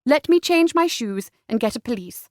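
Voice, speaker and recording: A spirited young gentlewoman in a historical romance , acted by a female narrator. She sounds high-voiced, forthright and defiant, pressing every point with emphasis. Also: animated, moderate pace, dry recording, clean studio sound, natural voice. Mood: resolute. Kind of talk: historical romance audiobook acting.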